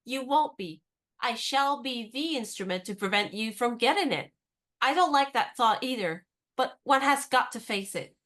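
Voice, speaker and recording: An actress playing a spirited, competitive young woman in a radio play, brisk, teasing and confident. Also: fairly high pitch, lively intonation, a bit bright.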